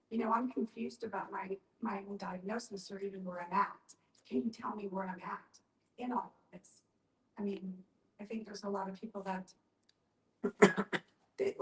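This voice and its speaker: Woman talking in a group chat, explains stuff with example questions, roomy echo, medium pitch. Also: far from the mic. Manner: explanatory, often voices example questions aloud. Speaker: panel speaker on end-of-life care. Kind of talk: conversational group discussion. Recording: echoey room.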